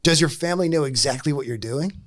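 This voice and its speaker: A man's voice: a little high, fast talker. A man hosting a casual interview, playful and chatty, laughing along and using "like" often. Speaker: interview host.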